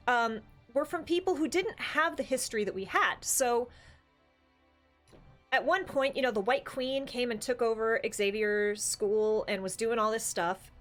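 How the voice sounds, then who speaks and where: A woman's voice: fairly high pitch, a bit bright. A woman co-hosting a casual, opinionated discussion, talking at length emphatically with rhetorical questions. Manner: talkative, emphatic, builds long explanations with rhetorical questions. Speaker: podcast co-host.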